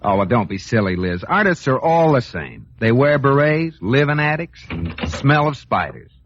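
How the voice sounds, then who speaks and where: A man's voice: medium pitch, sing-song, moderate pace, fairly bright tone. A man playing a skeptical, sardonic banker husband in a 1940s radio comedy, exasperated in delivery. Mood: wry, dismissive. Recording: dull, noisy old radio recording.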